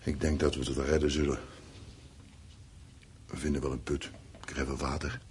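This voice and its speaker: A man's voice: extremely low pitch, level tone, normal speed, a little mellow. An actor playing a weary, defensive, practical man in a radio play, reassuring but turning irritable when challenged. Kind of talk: naturalistic radio play acting. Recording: noisy radio play recording with background ambience.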